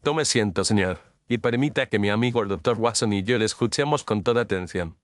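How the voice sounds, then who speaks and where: A man's voice: lowish voice, a little fast, no room sound, clean studio sound, lightly acted. A man playing a composed, astute Victorian detective, speaking formally and incisively in an audio detective story. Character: composed, astute Victorian male detective, courteous but commanding.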